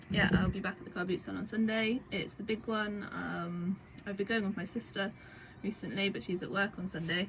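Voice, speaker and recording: A young woman, croaky from a cold, chatting casually at home about secondhand items she resells, with lots of fillers. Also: medium pitch, rough recording. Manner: chatty, rambling with many fillers. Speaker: vintage reseller vlogger. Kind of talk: casual chat. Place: home indoors. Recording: home recording with clear background noise.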